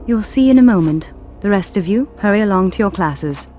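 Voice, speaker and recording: An actress playing a cool, quietly menacing woman in authority with a brisk manner in a video game. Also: mid-range voice, expressive, soft background music, natural voice. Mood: coolly commanding. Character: cool commanding adult female staff member, quietly menacing. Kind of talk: video game cutscene acting.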